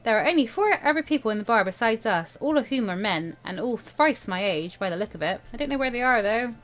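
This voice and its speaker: A woman hosting a let's play, reading a visual novel aloud in an expressive, playful, dramatic way. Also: fairly high pitch, quick pace, soft background music.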